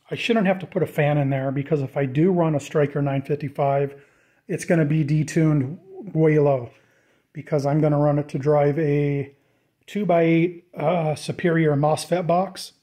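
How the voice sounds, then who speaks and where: A man giving a casual, off-the-cuff walkthrough of a DIY project, informal and thinking out loud as he goes. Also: a little high. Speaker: DIY vlogger presenting a build.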